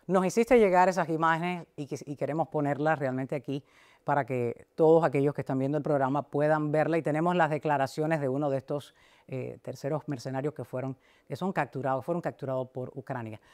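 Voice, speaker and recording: A woman's voice: deep voice, a little fast, darkish tone. Woman hosting a broadcast interview. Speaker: host.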